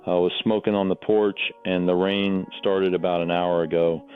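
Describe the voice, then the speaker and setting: A man's voice: low-pitched, flat delivery, moderate pace, lightly acted. A man playing an uneasy local radio caller in an audio drama, plainly describing things over a tinny phone-like line. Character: uneasy local man reporting what he saw. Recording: tinny, phone-like narrow sound.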